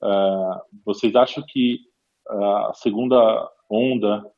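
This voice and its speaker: A man's voice: lowish voice, fairly even intonation. Hesitant Brazilian man on muffled call audio. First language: Portuguese. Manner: halting, hesitant, repeats words. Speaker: foreign doctor asking a question. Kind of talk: video conference discussion.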